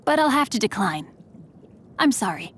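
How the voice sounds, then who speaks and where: Polite, playful young scholar-knight for game lines, faint noise behind. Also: female voice, fairly high pitch, big pitch swings, moderate pace. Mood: polite, composed. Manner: polite, formal and gently teasing. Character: refined young male swordsman scholar, courteous, playful.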